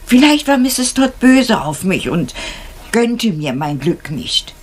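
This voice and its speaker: An actress playing a humble, excitable female cook in a German radio crime drama, deferential and artless in manner. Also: medium pitch, slow. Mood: confiding.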